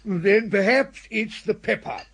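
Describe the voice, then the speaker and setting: A portly, older upper-class English gentleman for a radio comedy. His voice is raised in pitch and somewhat raspy. He speaks with courtly, measured pomposity and earnest effusion. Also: exaggerated voice. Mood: solemn, fretful. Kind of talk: radio comedy drama acting.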